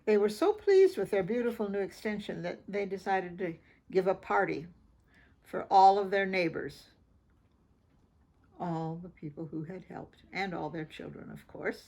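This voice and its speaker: A woman's voice: mid-range voice, expressive, moderate pace, dark tone. A woman reading a children's story aloud expressively, adding informal asides about the pictures. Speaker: children's picture book reader.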